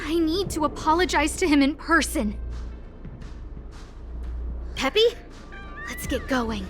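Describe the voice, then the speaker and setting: A woman's voice: high voice, sing-song, slow, fairly bright tone, exaggerated voice. An actress voicing an earnest, caring young woman boss in an anime-style game, musing aloud with guilt and worry. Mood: guilty, worried. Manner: musing aloud, self-questioning. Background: game music.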